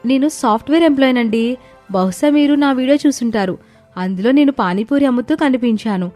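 A woman voicing a kind, outspoken young female office worker in an animated moral story, speaking earnestly. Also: high-pitched, fairly quick, pitched above the performer's own voice, close to an everyday voice. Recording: background music under the voice.

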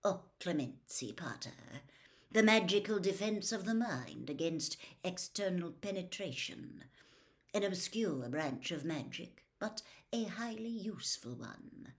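Woman voicing a soft, sneering male wizard schoolmaster. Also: fairly low pitch, big pitch swings. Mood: smooth, condescending. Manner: slow, smooth, waspish, pausing before barbed words. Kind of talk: audiobook character voice.

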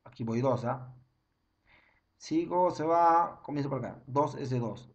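A man's voice: medium pitch, a little slow, lo-fi sound. A young man, teaching an online chemistry class over slides and counting through each step again and again. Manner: repetitive, step-by-step counting, frequent "ojo" and "ya".

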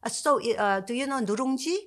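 A woman in her sixties, a native Korean speaker with a Korean accent, explaining language in an animated podcast chat, with many fillers and restarts. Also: on the high side, rapid-fire. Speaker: Korean language professor, guest. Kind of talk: conversational explanation. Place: home study with bookshelves. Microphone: podcast mic on stand.

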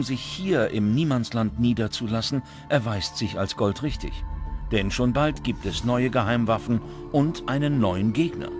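A man reading scripted documentary narration with dramatic, measured phrasing. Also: mid-range voice. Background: background music.

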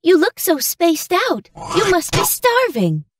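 A woman's voice: extremely high pitch, wildly swooping pitch, unhurried, no room sound, clean studio sound, far from the mic, full character voice. A woman playing a loving, pleading village mother in an English-language cartoon fairy tale. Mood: tender. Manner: tender, pleading. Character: loving, grieving old village mother of a gambler.

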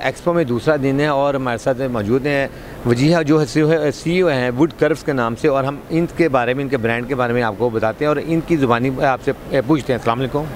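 A man's voice: medium pitch, fairly quick, a little hiss or hum. A man in his forties with a Pakistani accent, interviewing on location as a TV reporter. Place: large indoor expo hall. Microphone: handheld reporter microphone. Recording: busy expo hall chatter behind.

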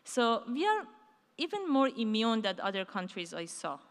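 A woman giving a conference keynote on a large dark stage, talking in a loose conversational way with fillers and restarts. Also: on the high side, big pitch swings, normal speed. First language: not Hebrew. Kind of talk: conference talk. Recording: hall reverb from a large stage.

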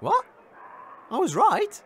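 A man's voice: very high-pitched, huge pitch swings, takes it slowly, a little hiss or hum, full character voice. An actor, a man, playing an exasperated and sceptical radio show host in a comedy audio drama. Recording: loud crowd behind the voice.

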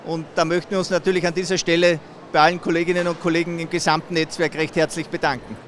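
A man, a company executive talking about growth in a grateful, measured way. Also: a little high, flat delivery, a bit bright, faint music behind. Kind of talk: event interview.

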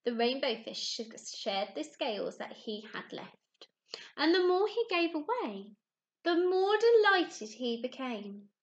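A woman's voice: sits high, slow, highs cut off. A woman, a primary teacher reading and chatting expressively to young children. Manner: expressive, addressing children with questions.

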